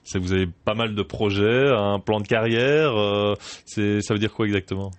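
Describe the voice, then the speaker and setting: A man's voice: on the low side, moderate pace, no room sound. A man interviewing a guest in a studio, asking long, leading questions broken up by filler sounds.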